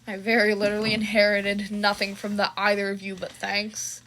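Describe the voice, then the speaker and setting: A woman's voice: medium pitch, completely flat delivery, moderate pace, fairly bright tone, a little hiss or hum, natural voice, low-quality recording. A woman voicing an exasperated, melodramatic teenage boy who complains, in a fan fiction audio story. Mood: sulky. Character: exasperated, dramatic, easily disgusted teenage boy.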